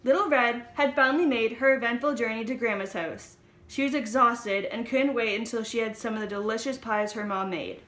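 A woman with a high voice reads scripted fairy-tale narration. Her tone is bright, and the recording has the roomy sound of indoors. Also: fairly quick.

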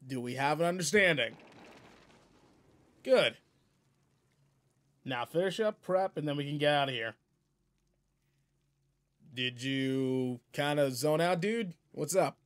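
A man's voice: fairly high pitch, lively intonation, slow, long pauses, a bit bright. A man playing a seasoned but laid-back space guardian mentor in a video game roleplay, casual, slangy and wry as he talks to a partner. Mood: teasing, instructing. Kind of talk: video game roleplay audio, in-character monologue.

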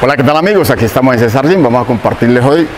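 A man's voice: mid-range voice, expressive, racing, music in the background. A man in his thirties with a Colombian accent, a fitness trainer explaining a workout in an indoor gym, repeating key points and checking in with his viewers. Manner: instructive, repeats himself, checks in with "¿sí?". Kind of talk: workout tutorial. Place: indoor gym, large room. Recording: noisy gym room.

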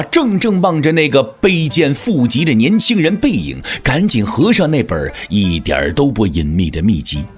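A man narrating an audio drama as scripted storytelling, in a measured, theatrical manner. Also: mid-range voice.

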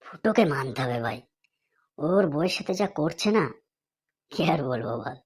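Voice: medium pitch, expressive, normal speed, slightly rough. An actor voicing a reasonable village friend in a comedy cartoon, advising. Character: reasonable village friend giving calm advice.

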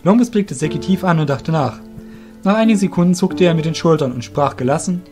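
A man's voice: on the high side, fairly quick, warm tone. A man reads scripted story narration for an audio drama. He acts as narrator, telling the events in prose. Faint plucked guitar music plays behind him.

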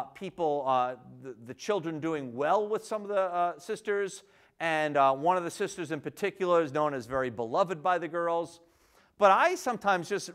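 A middle-aged man giving a public history lecture to a seated audience in an auditorium, talking conversationally and often checking in with "right?" and "I mean". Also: on the high side.

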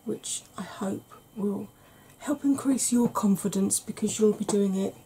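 A woman in her forties, a makeup vlogger, talking through an ASMR makeup tutorial slowly and gently in a soft, breathy voice that often drops to a whisper, recorded at home. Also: mid-range voice, slight background noise, low-quality recording. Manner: slow, gentle, patient explaining. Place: small home room.

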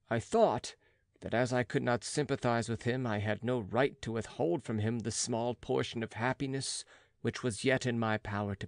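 A man narrating a classic gothic novel as an audiobook, in a measured, dramatic literary manner. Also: mid-range voice, no room sound, clean studio sound.

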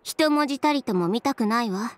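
A woman's voice: fairly high pitch, animated, no echo, close to an everyday voice. A woman voicing a proud, cool-headed young fashion designer who speaks bluntly and with self-assurance in an anime game. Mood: dismissive. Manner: direct, brisk, self-assured. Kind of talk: Japanese anime game voice acting.

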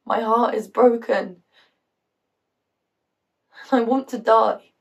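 A woman acting a theatrical monologue with a British accent as a bitter, wounded woman, sardonic, halting and emotionally volatile. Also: mid-range voice, expressive, recorded from a distance, close to an everyday voice. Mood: pained. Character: bitter, wounded woman confiding a painful story.

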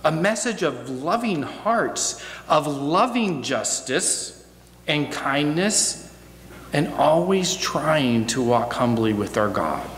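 A middle-aged American man giving a reflective sermon as guest preacher, measured, with deliberate pauses and repeated phrases, at a church pulpit microphone. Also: a little high, big pitch swings, fairly bright tone. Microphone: gooseneck pulpit microphone. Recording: echoey hall, noticeable hum.

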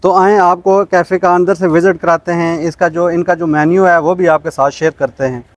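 A man's voice: high voice, steady tone, brisk, no room sound. A man with a Pakistani accent hosting a property vlog, speaking politely and promotionally with English words mixed in. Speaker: host of a property channel. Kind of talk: vlog presenting and interviewing. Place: outdoors in front of a small cafe storefront. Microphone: lapel mic.

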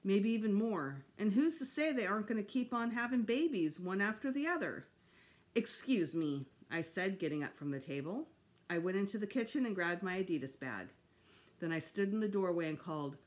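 A middle-aged woman reading a children's novel aloud in an expressive storytime style. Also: medium pitch, a little fast. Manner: expressive, acts out dialogue. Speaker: storytime reader. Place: small home room, seated indoors.

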